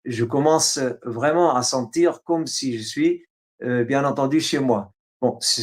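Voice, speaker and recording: A middle-aged man with an Arabic accent, a native Arabic speaker from Syria, telling his life story as an interview guest, halting, with many fillers and restarts. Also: mid-range voice. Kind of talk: autobiographical interview.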